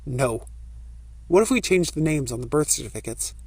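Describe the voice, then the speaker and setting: Storybook young husband, played by a woman narrator: pitched down, practical and guarded, close dry sound. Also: lively intonation, normal speed, darkish tone, breathy edge, no room sound, full character voice. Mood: cautious, businesslike. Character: cautious, practical young man posing as a husband.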